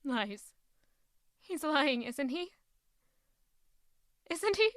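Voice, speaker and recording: Fantasy audio drama: stubborn betrayed young refugee played by a woman, measured, intense, accusatory, piercingly bright, dry recording. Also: high-pitched, big pitch swings, no room sound, heavily acted. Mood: shaken, pleading. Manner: intense, accusatory, emotionally charged.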